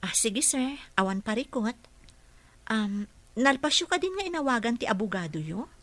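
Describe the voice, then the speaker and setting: A respectful young-sounding female caregiver in a radio drama, dutiful toward the boss. The voice is high and bright, recorded very dry and close. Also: expressive, moderate pace, dry recording. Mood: modest, gentle.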